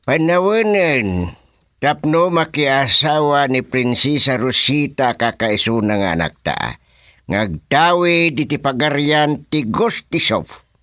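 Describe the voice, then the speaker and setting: An actor playing a boastful king in a comic Ilocano radio drama, declaiming deliberately with an Ilocano accent. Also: medium pitch, big pitch swings, a bit bright, dry recording, clean studio sound. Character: boastful fairy-tale king, father of a princess.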